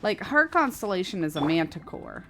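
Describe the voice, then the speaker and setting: A woman's voice: lowish voice, big pitch swings, moderate pace, fairly bright tone. A woman game streamer chatting casually as she plays, rambling and thinking aloud. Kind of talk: casual livestream gameplay chat. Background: game music.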